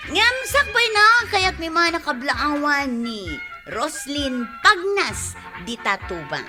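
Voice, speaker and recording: A woman with an Ilocano accent announcing a radio drama and its credits in a theatrical, scripted, drawn-out style. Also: high voice, sing-song. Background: music.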